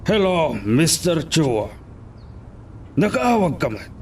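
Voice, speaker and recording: A man playing a corrupt town mayor in an audio drama, bossy and deliberate as he gives orders. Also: on the high side, very animated, a little hiss or hum. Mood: calculating, businesslike. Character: corrupt, commanding male town mayor, scheming villain.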